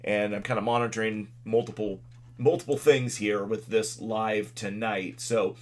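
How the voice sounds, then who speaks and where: A man with a fairly low voice hosts a live weather stream from his desk. He talks loudly and casually, stopping often with fillers as he clicks through maps. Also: steady tone. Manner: loud, halting delivery with many fillers. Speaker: local weather livestream host. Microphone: desk microphone.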